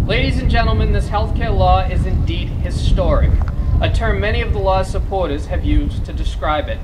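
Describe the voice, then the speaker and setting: A man's voice: sits high, noisy background. A man with a Massachusetts accent delivers a prepared political speech at a rally, speaking formally and emphatically as an advocacy spokesman. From Massachusetts, United States. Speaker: small business advocacy spokesman.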